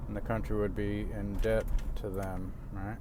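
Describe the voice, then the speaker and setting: A man talking in a casual, rambling monologue, pausing often and restarting his sentences. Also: fairly low pitch. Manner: halting, many pauses and restarts. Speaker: vlogger. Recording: steady outdoor traffic noise.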